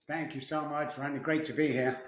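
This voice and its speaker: A man's voice: fairly high pitch, fairly even intonation, fairly quick. A middle-aged man with a Manchester English accent, answering interview questions conversationally as a podcast guest. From Manchester, England. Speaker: podcast guest, addiction recovery specialist. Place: home office and recording studio. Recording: roomy, echoey office studio.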